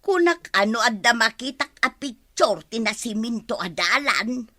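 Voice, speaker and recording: A woman's voice: on the high side, lively intonation, unhurried, bright, forceful tone, no echo, clean studio sound, full character voice. A woman playing a fussy, skeptical older village woman in a comedy radio drama, querulous and full of questions.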